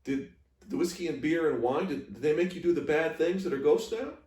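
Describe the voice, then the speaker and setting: A man's voice: on the high side, brisk, darkish tone, voice pushed up, lightly acted. A man voicing a curious, earnest young Southern girl who asks polite, innocent questions in a story read aloud. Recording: echoey room, distant microphone.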